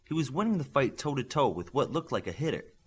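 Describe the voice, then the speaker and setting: A man reading a novel aloud as a scripted audiobook narration, with expressive delivery. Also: mid-range voice, quick pace, husky.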